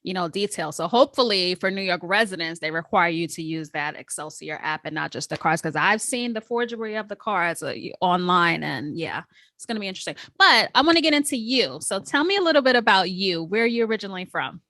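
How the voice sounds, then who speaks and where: Lady podcast host, low-ish but really bright voice, dry sound, lots of mm-hmms. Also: expressive, brisk, dry recording. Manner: curious, with frequent affirming murmurs. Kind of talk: casual interview.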